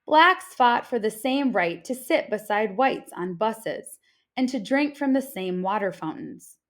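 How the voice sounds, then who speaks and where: A woman reading an informational picture book aloud like a teacher, with deliberate, expressive phrasing for children. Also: medium pitch.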